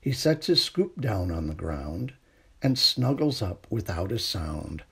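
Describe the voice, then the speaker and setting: A middle-aged man reading a children's picture book aloud in a gentle, unhurried bedtime manner, with a husky, creaky voice. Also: on the low side, very animated. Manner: gentle, unhurried bedtime delivery with hushed refrains. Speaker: storytime reader.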